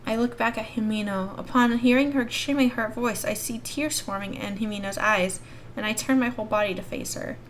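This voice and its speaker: A woman's voice: on the high side, a little fast, slight background noise. A woman reading a story aloud expressively as the host of a gaming video. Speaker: YouTube host reading a visual novel aloud. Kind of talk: expressive read-aloud narration.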